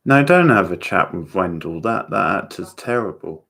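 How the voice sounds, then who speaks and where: Low gravelly guy doing a laid-out slow watchalong commentary, kinda halting. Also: lively intonation, a bit bright. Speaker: watchalong host commenting on a TV show. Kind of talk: casual chat, live commentary.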